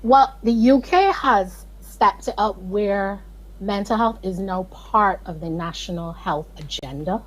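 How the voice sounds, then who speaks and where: Barbadian woman guest, husky, emphatic interview talk. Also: medium pitch, measured pace, poor audio. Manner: earnest, emphatic, pleading in places. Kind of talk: video call interview.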